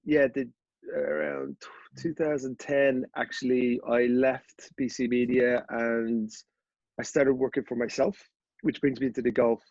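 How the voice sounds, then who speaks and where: A man in his thirties with a Dublin Irish accent, a podcast guest telling his story in a relaxed, rambling way with many fillers and restarts. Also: medium pitch, no room sound, muffled recording. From Ireland. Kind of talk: casual interview chat.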